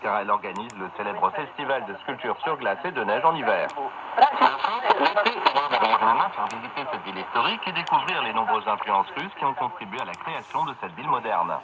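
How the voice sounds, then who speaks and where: A man's voice: mid-range voice, very animated, fairly quick. A man presenting a scripted travelogue as a reporter, heard over shortwave radio. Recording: dull, very noisy shortwave radio.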